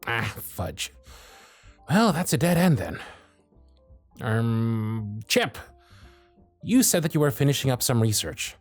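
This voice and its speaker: A man's voice: medium pitch, big pitch swings, slow, pauses often, dry recording, faint music behind. Man playing a grumpy elf supervisor. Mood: exasperated. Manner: sarcastic, scolding. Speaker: workshop supervisor. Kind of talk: ASMR roleplay audio.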